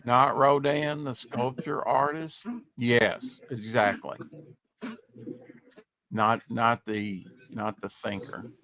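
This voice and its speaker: A male podcast host with a slightly raspy voice, chatting casually in a rambling way, full of fillers and trailing off. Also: medium pitch, fairly even intonation, takes it slowly, a bit bright, poor audio. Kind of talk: casual chat.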